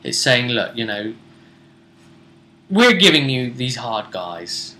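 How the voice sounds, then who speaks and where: A British man hosting a tabletop role-play vidcast, recapping a game casually in a halting way, repeating himself and trailing off. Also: medium pitch, big pitch swings, bright, forceful tone. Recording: roomy, echoing sound.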